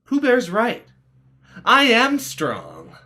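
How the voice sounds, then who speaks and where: A man's voice: very high voice, huge pitch swings, extremely slow, bright, forceful tone, slight background noise, exaggerated voice. A man playing an earnest, newly confident teenage boy in a play script reading. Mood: defiant, earnest.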